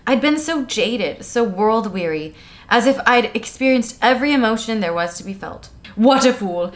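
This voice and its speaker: Woman, fairly high voice, podcast co-host, playful, sarcastic, comedic retelling. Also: animated, moderate pace. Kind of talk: comedic book recap chat.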